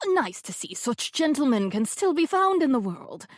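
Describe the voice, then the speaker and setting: A woman's voice: high-pitched, very animated, normal speed, no room sound, studio quality, voice pushed up. A woman voicing a haughty, bossy older lady who commands everyone politely. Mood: sweetly bossy. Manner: imperious, sweetly manipulative.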